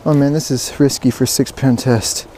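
A man giving excited, casual fishing commentary, repeating words in bursts, speaking outdoors into a body-worn action camera. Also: medium pitch, moderate pace. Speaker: fishing vlogger. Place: outdoors beside a stream. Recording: outdoor water noise behind voice.

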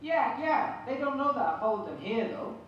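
A woman's voice: on the high side, very animated, normal speed, dull audio. A woman voicing an eager, friendly sheep puppet in a scripted show, with projected stage delivery. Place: church hall, puppet stage, far camera. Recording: very echoey church, distant noisy mic.

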